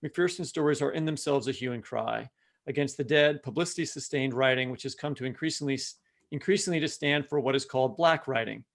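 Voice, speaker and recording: A man's voice: mid-range voice, brisk, creaky. A man co-hosting a literary podcast, moving between conversation and reading aloud. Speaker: podcast co-host.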